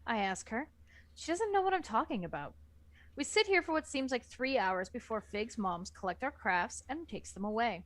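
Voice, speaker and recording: A woman's voice: on the high side, sing-song, normal speed, a bit bright, no echo. A woman reading scripted first-person story narration as the narrator of an amateur book reading.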